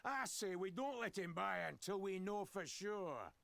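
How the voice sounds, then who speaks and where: An actor playing a rough, menacing shepherd in a game, mocking and bullying as he talks. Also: high-pitched, animated, measured pace, a bit bright, no echo, exaggerated voice. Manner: taunting. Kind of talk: game audio acting.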